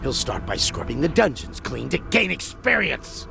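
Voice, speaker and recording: A man playing a foul-mouthed, gruff and irate commander in a video game. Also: mid-range voice, sing-song, normal speed, music in the background. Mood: disgusted. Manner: gruff, blustering, cursing.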